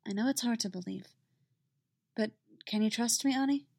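A woman voicing a calm, protective mother who speaks quietly and firmly. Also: medium pitch, unhurried, no echo, clean studio sound, lightly acted. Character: calm, protective mother, firm under pressure.

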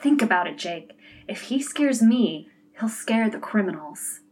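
A woman's voice: medium pitch, animated, unhurried, a little mellow, close to an everyday voice. A woman playing a timid but eager and earnest male police detective. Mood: earnest, awed. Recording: distant mic with background noise.

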